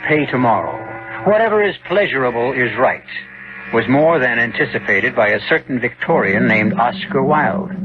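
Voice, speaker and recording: A man's voice: mid-range voice, slight background noise. Male radio mystery host, thin vintage sound. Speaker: radio drama host and narrator. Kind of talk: scripted narration, 1970s radio. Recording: thin, phone-like 1970s radio with music.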